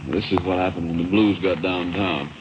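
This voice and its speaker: Low-voiced American radio host, noisy old recording. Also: male voice, fairly even intonation, normal speed. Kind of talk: casual radio chat and ad reads. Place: radio studio. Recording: noisy, dull 1960s radio aircheck.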